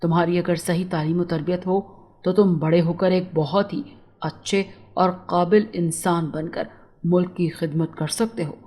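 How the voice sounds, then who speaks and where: A woman voicing a kindly, authoritative male judge in a storybook tale, speaking in formal, measured pronouncements. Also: on the low side, fairly even intonation, warm tone, voice pushed down, lightly acted. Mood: solemn, approving. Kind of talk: storybook character dialogue.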